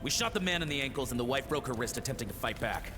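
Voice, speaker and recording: A man's voice: medium pitch, expressive, fast talker, lightly acted. A man playing a dutiful, ruthless soldier reporting to his superior in a video game. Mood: callous, assured. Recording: long reverb, clear background music.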